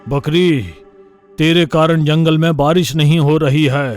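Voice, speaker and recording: Stern jungle monarch lion, male performer, animated fable, imperious orders, soft music bed. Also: fairly high pitch, measured pace, close to an everyday voice. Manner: imperious, authoritative.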